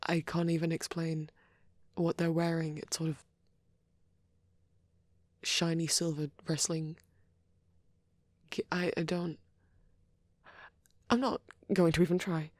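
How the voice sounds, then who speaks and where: A woman rambling softly in an ASMR style, with a shy, hesitant, breathy delivery that often slips into a half-whisper, close to the microphone. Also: low-pitched, level tone, takes it slowly, long pauses, no echo. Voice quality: soft, breathy. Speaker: ASMR roleplay creator. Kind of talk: soft rambling ASMR chat.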